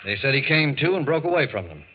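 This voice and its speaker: A man playing a knowing, blunt family doctor in a 1940s radio drama, speaking in a measured, pointed way. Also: a little high, very animated. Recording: dull 1940s radio recording, faint noise.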